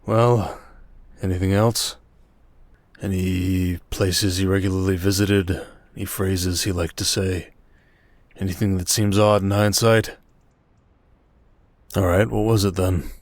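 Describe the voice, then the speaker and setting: A man playing a stern, protective merchant lord in a roleplay audio drama, speaking in a dry, measured, commanding way. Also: deep voice, level tone, dry recording. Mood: intent, dry.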